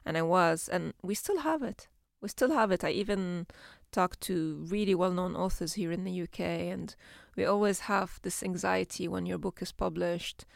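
A woman's voice: lowish voice, moderate pace, gravelly, few pauses, no room sound, clean studio sound. A middle-aged woman from Syria, a native Arabic speaker, talking reflectively about her career as a children's book author in an interview, often restarting her sentences. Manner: reflective, frequent restarts and repetitions. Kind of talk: conversational interview.

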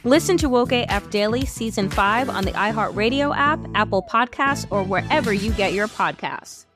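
Woman hosting a podcast, scripted promo read, voice on the higher side, music under it. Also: lively intonation, moderate pace. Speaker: podcast host.

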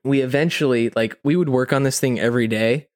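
A man's voice: mid-range voice, brisk, darkish tone, no room sound, clean studio sound. A man co-hosting a podcast, telling a story in casual chat, rambling informally with plenty of "like" and "you know". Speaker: podcast co-host.

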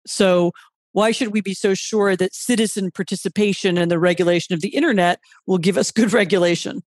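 A man's voice: high-pitched, fairly even intonation, a little fast, no room sound. A man interviewing a guest, asking long, thoughtful questions with restarts and repeated words.

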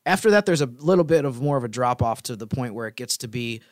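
A man's voice: medium pitch, expressive, quick pace, no echo. Man co-hosting sports talk, close dry sound. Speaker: sports podcast co-host.

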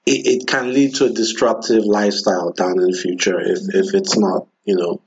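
A man's voice: lowish voice, little pitch movement, normal speed. A man hosting a podcast in a casual chat, asking curious questions and summing up what he hears. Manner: curious, asks questions and sums things up. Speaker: podcast host. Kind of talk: casual conversation. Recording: dull lo-fi podcast audio.

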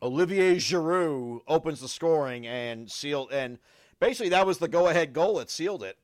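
A man co-hosting a casual football podcast, his voice raspy from a cold, often stumbling over and repeating words and laughing. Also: a little high, animated, normal speed. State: sick with a cold. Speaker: podcast co-host.

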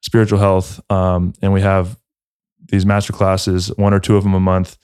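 A man's voice: deep voice, a little mellow, smooth, no echo, clean studio sound. A man hosting a podcast, talking casually in an earnest, affectionate and laid-back way. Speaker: podcast host. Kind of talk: casual podcast chat and announcements.

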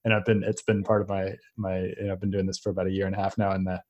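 Low-ish young guy hosting a podcast, wanders off on tangents, lots of restarts. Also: flat delivery, rapid-fire, darkish tone. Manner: rambling, many restarts and tangents. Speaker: podcast host. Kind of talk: casual conversational interview.

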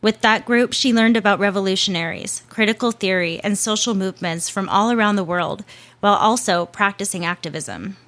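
A woman's voice: medium pitch, fairly quick, fairly bright tone. Chatty woman narrating with casual asides. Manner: chatty asides, swearing, self-correcting.

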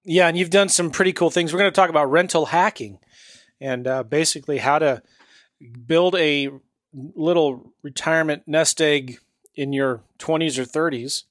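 A man's voice: fairly high pitch, steady tone, normal speed, fairly bright tone, no room sound, studio quality. A man hosting a podcast interview, upbeat and chatty, laughing easily. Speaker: podcast host.

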